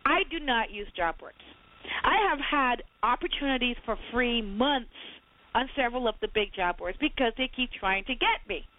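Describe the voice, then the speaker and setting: High-pitched woman from barbados, fired up and emphatic, sounds like she's on the phone. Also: normal speed. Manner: emphatic, animated, talks over others. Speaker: podcast co-host, resume writer. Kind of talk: heated casual debate. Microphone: phone call. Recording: phone-line audio.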